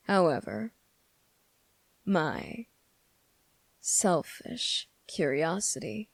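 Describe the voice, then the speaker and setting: Slow, creaky, menacing demon acted out by a performer. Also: medium pitch, no echo, full character voice. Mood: taunting, curious. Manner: slow, deliberate, condescending, pausing often. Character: sardonic, menacing demon weighing a summoner's revenge plea. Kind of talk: dramatic ASMR roleplay monologue.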